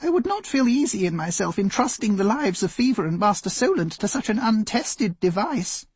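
A man's voice: sing-song, moderate pace, husky, no echo, full character voice. A man doing a fretful old inventor. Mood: anxious. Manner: fluttering, high and fretful. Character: fussy nervous older male inventor.

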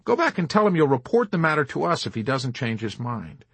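Male narrator as male colonel, scornful, bossy, forceful, raspy, raised voice, audiobook. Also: fairly high pitch, very animated, brisk, no echo, pitched above the performer's own voice, exaggerated voice. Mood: commanding.

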